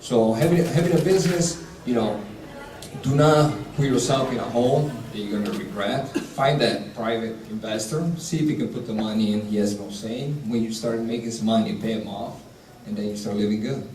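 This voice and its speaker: A man's voice: fairly low pitch, normal speed. A man from Italy with an Italian accent, an executive chef giving blunt, practical advice on a panel in a large hall. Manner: direct, practical, with broken grammar. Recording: echoey hall, distant mic, light noise.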